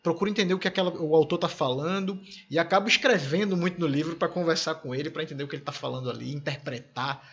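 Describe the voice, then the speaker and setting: A man's voice: a little high, quick pace, few pauses, fairly bright tone, muffled recording. A man in his thirties from Brazil hosting a casual conversation, telling enthusiastic anecdotes about his family.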